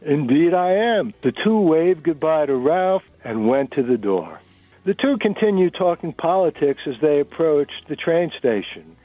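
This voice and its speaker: A man reads fiction aloud as narrator in a dramatic reading. His voice sits a little high, with a very dry sound and no room around it. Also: expressive, a little slow, no echo, phone-quality sound, faint music behind. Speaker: narrator reading a novel chapter.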